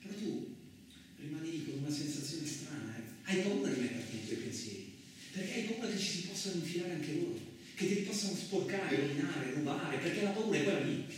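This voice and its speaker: An actor playing a coarse, suspicious, lonely truck driver in a radio theatre monologue, rambling colloquially with frequent questioning interjections. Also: male voice, fairly high pitch, lively intonation, fairly quick, close to an everyday voice. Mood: uneasy, hushed. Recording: very echoey, distant, noisy room recording.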